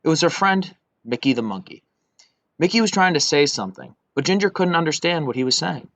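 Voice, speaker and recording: A young man reading a children's story aloud in an expressive, scripted way. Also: a little high, fairly quick, no echo. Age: young adult. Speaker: volunteer storybook reader. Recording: dull lo-fi recording.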